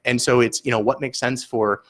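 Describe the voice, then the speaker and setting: A man's voice: mid-range voice, fairly even intonation, brisk, fairly bright tone. A man running a food bank, answering interview questions in an earnest, explanatory way, with frequent fillers and repeated words. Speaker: food bank executive director, guest.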